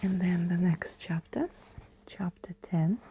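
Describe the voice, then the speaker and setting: A woman reading a story aloud as ASMR, hushed and often slipping into a whisper, close to the mic. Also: on the low side, slow, slight background noise.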